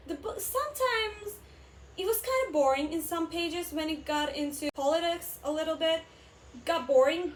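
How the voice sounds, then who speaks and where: A woman's voice: sits high, slight background noise. A young woman from Turkey with a Turkish accent, chatting enthusiastically about favorite books in a casual vlog, full of fillers and self-corrections. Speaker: booktube vlogger. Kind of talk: casual book recommendation chat.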